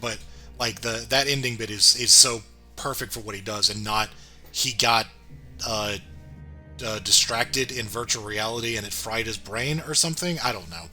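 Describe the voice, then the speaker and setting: A man co-hosting a casual review, agreeable and hesitant as he thinks out loud. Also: fairly low pitch, normal speed, faint music behind. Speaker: co-host of a cartoon review show.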